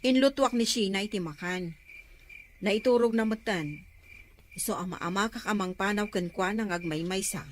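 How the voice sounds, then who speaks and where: A woman's voice: medium pitch, normal speed, slight background noise, lightly acted. A woman playing a caring but exasperated aunt who fusses over her niece and scolds her mother in an Ilocano comedy-drama.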